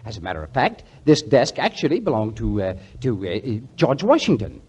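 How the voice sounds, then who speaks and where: A man's voice: medium pitch, wildly swooping pitch, moderate pace, darkish tone, husky, lo-fi sound, heavily acted. A man playing a slick, evasive second-hand salesman in a 1940s radio comedy, persuasive and fibbing.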